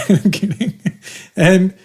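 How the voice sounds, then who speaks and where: Man, podcast host, mellow dark tone, teasing, lots of fillers. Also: high voice, takes it slowly, breathy edge. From India. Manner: playful, teasing, with many fillers and restarts. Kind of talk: casual chat.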